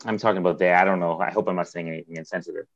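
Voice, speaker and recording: A man's voice: deep voice, steady tone, rapid-fire, lo-fi sound. A man in a casual conversation with an old friend, talking things through in a reflective way with restarts and chuckles. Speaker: conversation partner, longtime friend. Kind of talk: casual chat.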